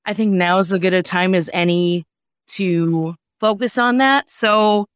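A woman podcast co-host with a slightly low voice, chatting casually and emphatically. The sound is dry and close, with no room echo. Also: a little slow, smooth. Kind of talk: casual panel chat.

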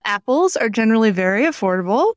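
Woman co-host, slightly high voice, articulate, emphatic, conversational, recorded very dry. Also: very animated, quick pace, no echo. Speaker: podcast co-host. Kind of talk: casual chat with practical tips.

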